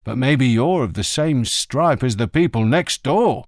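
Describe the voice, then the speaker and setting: A man's voice: mid-range voice, expressive, measured pace, dry recording, clean studio sound. A man reading an audiobook, voicing a quarrelsome elderly greengrocer who swears and snaps back sarcastically. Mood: sarcastic. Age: older. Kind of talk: audiobook character voice.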